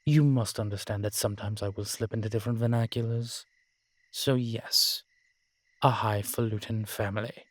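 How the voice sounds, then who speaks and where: A man's voice: lowish voice, steady tone, normal speed, no echo, close to an everyday voice. A man playing an ancient, proud and world-weary male vampire in an audio roleplay, talking in a wry, haughty way with old-fashioned fancy words. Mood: wry, defensive. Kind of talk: audio roleplay drama, one-sided dialogue.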